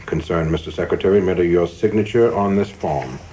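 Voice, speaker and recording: Noisy ambience sits behind a low male voice acted by a man in a radio play. He is a coldly zealous young official, formal and insistent, polite to superiors but cutting. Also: a little fast, dark tone, lightly acted. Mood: insistent, clipped. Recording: clearly noisy background ambience.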